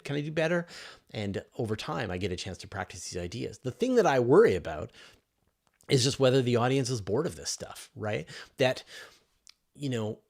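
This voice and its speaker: Guy with a lowish voice doing a casual livestream q&a, keen explainer, thinks out loud as he goes. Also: gravelly. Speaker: host of a space Q&A livestream. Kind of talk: casual live question-and-answer chat.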